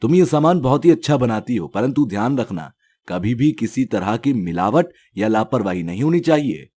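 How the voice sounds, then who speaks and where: A man's voice: mid-range voice, sing-song, normal speed, dark tone, husky. A man playing an honest, simple village snack seller in a storybook tale, speaking plainly. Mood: pleased, earnest. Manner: earnest, plain-spoken. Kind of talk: storybook character dialogue.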